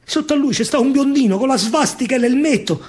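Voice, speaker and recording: A man's voice: very high-pitched, quick pace, darkish tone. A man with a Roman accent performing a dramatic, rhythmic verse monologue as a soldier recounting a battle. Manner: declamatory, rhythmic, theatrical. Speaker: storyteller actor. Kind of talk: dramatic verse monologue with live music, radio theatre. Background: music played live.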